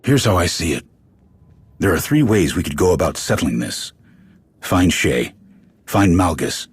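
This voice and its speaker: An actor playing a stern, blunt military clan commander in a video game cutscene, with a husky, authoritative delivery. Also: male voice, dry recording, heavily acted. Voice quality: very deep, gravelly, husky.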